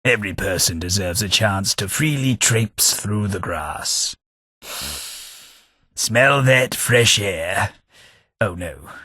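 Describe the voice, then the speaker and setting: A prim, sardonic companion. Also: male voice, deep voice, bright, forceful tone, husky, no echo, exaggerated voice. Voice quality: dry, sardonic delivery. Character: prim, snobbish British male companion, dry wit. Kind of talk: video game companion lines.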